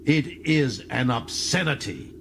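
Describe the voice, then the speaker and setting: A man's voice: medium pitch, animated, slow pace, a bit bright, a little hiss or hum, pitched above the performer's own voice. Man playing a scornful, fierce young alien prisoner. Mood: furious, bitter. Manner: scornful, dramatic, intense. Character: bitter, proud young male alien prisoner, fierce and contemptuous.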